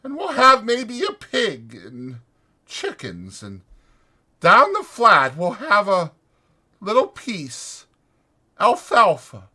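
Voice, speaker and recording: A man voicing a weary, protective ranch hand who talks in a halting, soothing, anguished way in a dramatized audiobook. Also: high-pitched, huge pitch swings, sharp tone, husky, voice pushed up, full character voice. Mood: gentle, strained.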